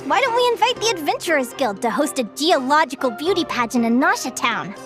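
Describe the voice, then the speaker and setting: A woman's voice: high-pitched, very animated, brisk, over music. A woman playing a sweet, bashful, enthusiastic young scholar in an anime game, flustered and gushing.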